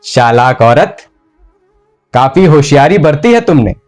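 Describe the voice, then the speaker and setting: A male actor playing a ruthless, tormented medieval sultan in a slow, deliberate theatrical monologue with heavy pauses. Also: on the high side, big pitch swings, darkish tone, faint music behind. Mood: scornful. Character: brooding, ruthless, tormented medieval sultan confessing his murders. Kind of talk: dramatic theatrical Hindustani monologue.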